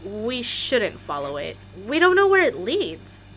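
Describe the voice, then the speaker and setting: A sceptical young woman wanderer played by an actress, high-voiced and lively in pitch. She is dry and suspicious, the sensible one in a radio drama, with faint noise behind her. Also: a little slow, full character voice. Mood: anxious. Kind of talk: audio drama acting.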